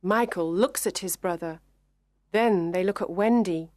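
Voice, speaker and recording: A woman narrating a scripted children's story for language learners, deliberate and carefully enunciated. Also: lowish voice, darkish tone, no room sound.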